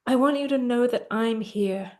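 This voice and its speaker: A woman therapist guiding a tapping exercise, explaining gently with frequent fillers, then leading phrases to repeat. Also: on the high side, normal speed. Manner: gentle, instructive, many fillers. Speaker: therapist and coach. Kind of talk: guided tapping session.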